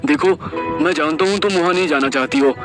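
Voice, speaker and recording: A man's voice: fairly high pitch, fast talker, voice pushed up, natural voice. A man voicing an earnest, worried father in a horror story. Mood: eager. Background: background music.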